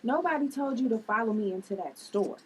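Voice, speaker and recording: Woman reading wary, irritated sibling, lively pitch. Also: a little fast, lightly acted. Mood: guarded. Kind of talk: table read of a drama script. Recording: far from mic, clear background noise.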